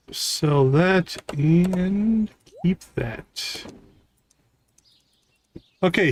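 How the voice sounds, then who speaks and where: A man's voice: a little high, slight background noise. A man giving casual live gameplay commentary as a streamer, thinking aloud to himself in short fragments. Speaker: game streamer.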